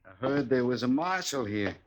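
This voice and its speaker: An actor playing an insolent, menacing drifter cowboy with an American Western drawl, mock-friendly and needling, in a 1950s radio western. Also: male voice, medium pitch, expressive, moderate pace, natural voice.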